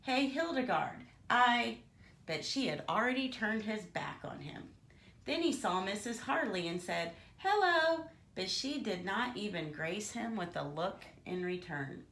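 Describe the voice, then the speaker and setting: A woman's voice: medium pitch, lively intonation, moderate pace, a bit bright, reverberant. A woman reading a children's storybook aloud expressively and theatrically, as if to young listeners. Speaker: storybook reader.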